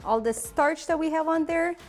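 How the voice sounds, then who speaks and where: A woman's voice: very high voice, normal speed. A woman in her thirties from Ukraine with a Ukrainian accent, chattily hosting a cooking demonstration and laughing at her own slips. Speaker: cooking show host.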